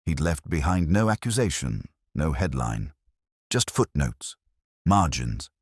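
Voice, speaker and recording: Male actor as reflective detective, very low voice, dry close sound, solemn, measured literary storytelling, radio drama narration. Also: very animated, slightly rough, dry recording, heavily acted. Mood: melancholy, pensive. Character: weary, reflective older English male police detective, narrating. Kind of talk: scripted first-person detective story narration.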